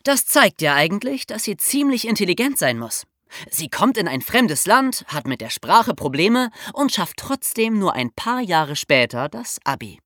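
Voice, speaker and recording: A man co-hosting a scripted true-crime podcast, reading in an emphatic, animated way. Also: high voice, unhurried, no echo, studio quality. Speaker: podcast co-host.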